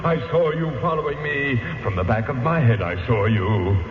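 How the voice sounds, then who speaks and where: Radio-play ghost, male actor: archaic, melodramatic, bloodthirsty nobleman, wildly varied pitch, muffled noisy recording. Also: animated, normal speed, echoey room, big-room sound, lightly acted. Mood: imperious, threatening. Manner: pompous, archaic, melodramatic moaning. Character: theatrical old aristocratic ghost, wailing and bloodthirsty. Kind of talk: old-time radio drama acting.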